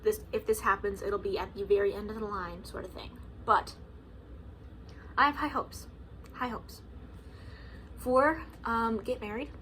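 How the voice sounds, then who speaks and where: A woman's voice: medium pitch, a little slow, pauses often, a bit bright, a little hiss or hum, poor audio. A woman in her early twenties talking casually to camera at home, chatty, with lots of "like" and "um" and frequent laughs. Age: 20s. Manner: chatty, lots of likes and ums, laughs often. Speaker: vlogger.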